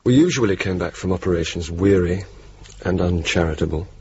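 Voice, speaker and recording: Radio drama: blunt young wartime RAF officer, low voice, dry and sardonic, muffled noisy recording. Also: male voice, fairly quick, a little mellow. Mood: weary, reflective. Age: young adult. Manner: dry, sardonic, sweary, decisive. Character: blunt, cynical young male RAF officer, wryly decisive. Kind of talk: radio drama acting, dialogue and narration.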